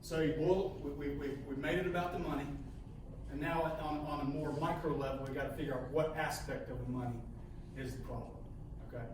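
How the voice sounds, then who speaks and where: A man's voice: on the high side, fairly even intonation. Man coaching in an echoey room. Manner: halting, repeats words, ends points with "okay?". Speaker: sales trainer. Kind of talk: coaching a training session with role-play. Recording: echoey room, mic far off, faint hiss.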